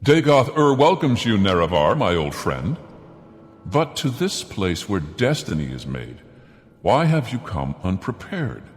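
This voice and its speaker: Villainous game god, grandiose, solemn, low, long cavernous reverb. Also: male voice, sing-song, a little slow. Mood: grandiose, menacing. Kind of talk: scripted fantasy video game dialogue.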